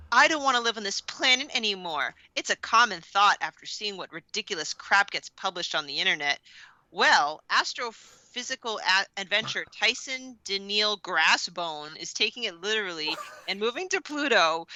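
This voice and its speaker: A woman's voice: medium pitch, very animated, normal speed, bright, forceful tone, no echo, dull audio. A woman co-hosting a podcast, bantering casually and reading aloud for comedy with an incredulous, exclamatory manner. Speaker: podcast co-host.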